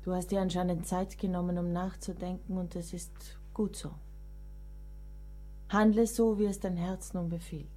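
An actress playing a proud, resigned middle-aged woman writer of the 19th century in a German radio play, measured and restrained. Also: on the low side, flat delivery, warm tone, faint music behind, natural voice. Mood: resigned farewell. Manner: measured, literary, restrained delivery.

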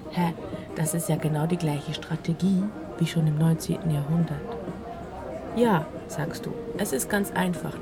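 A woman's voice: low-pitched, creaky. A woman narrating a scripted audio play in the second person, telling it like a personal story. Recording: loud music under the voice. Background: prominent music.

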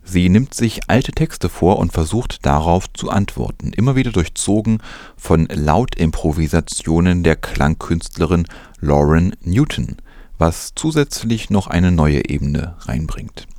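A man's voice: sits low, expressive, a little slow, warm tone, dry recording, studio quality. A man presenting news, reading from a script in a narration style.

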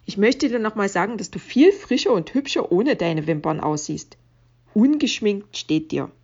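A woman's voice: medium pitch, expressive, normal speed, darkish tone, muffled recording. A woman playing a gushing social media commenter, reading online comments aloud. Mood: flattering.

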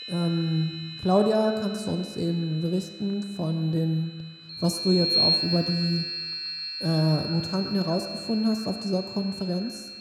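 Flustered group leader acted in an amateur radio play, halting earnest speeches, echoing hall, music behind. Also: medium pitch, steady tone. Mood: earnest, formal. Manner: halting, stumbling speech-making.

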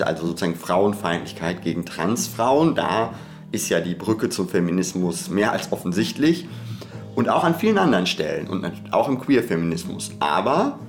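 Podcast host: man with a lowish voice, thinking aloud in abstract terms, halting and meandering, faint music underneath. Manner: hesitant, digressive, searching for words. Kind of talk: reflective, theoretical podcast talk.

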